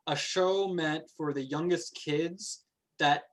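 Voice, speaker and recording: A man's voice: fairly high pitch, fairly even intonation, slow. A man hosting a casual conversation, joking and easygoing. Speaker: host.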